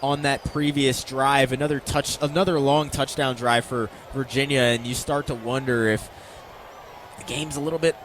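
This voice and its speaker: A man's voice: mid-range voice, steady tone, moderate pace, fairly bright tone. American male football analyst, stadium noise behind. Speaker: radio color commentator. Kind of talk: live football analysis commentary.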